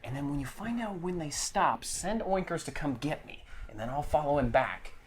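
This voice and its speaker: A man's voice: on the high side, expressive, moderate pace, noisy background. A man talking casually and insistently as a player in a tabletop game.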